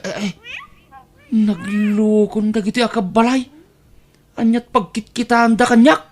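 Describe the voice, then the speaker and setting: A man's voice: extremely high pitch, slight background noise, heavily acted. A man with an Ilocano accent playing a put-upon, indignant poor relation in an audio drama, exclaiming and grumbling.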